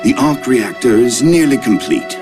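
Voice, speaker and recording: A man's voice: mid-range voice, big pitch swings, moderate pace, natural voice. Guy as a loyal henchman in a game, mid voice, really up and down, music behind. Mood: dutiful. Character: dutiful male military underling reporting to his boss. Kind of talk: video game cutscene acting.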